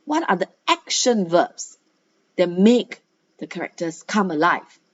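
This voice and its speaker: Female teacher for guided reading lesson: very slow pace, instructive questioning, emphatic key words, slightly muffled lo-fi recording. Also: on the low side, very animated. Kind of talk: guided read-aloud lesson with questions and explanations. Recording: dull, lo-fi sound.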